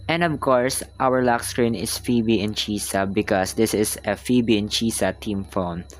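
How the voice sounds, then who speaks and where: A man's voice: fairly low pitch, measured pace, slight background noise. A man doing casual vlog narration as a gaming content creator. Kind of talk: casual vlog voice-over, reading game choices.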